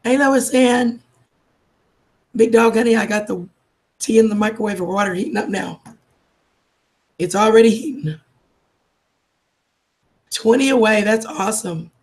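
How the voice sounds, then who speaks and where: A woman, losing her voice from a cold, chatting warmly with viewers on a live stream and calling them darling and sweetheart. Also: on the high side, a little slow, long pauses. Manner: affectionate, calls everyone darling and sweetheart. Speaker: livestream host. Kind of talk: casual live chat greeting viewers.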